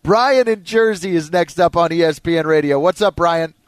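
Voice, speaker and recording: High-voiced male sports radio host. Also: moderate pace, no room sound. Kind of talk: lively call-in sports talk radio.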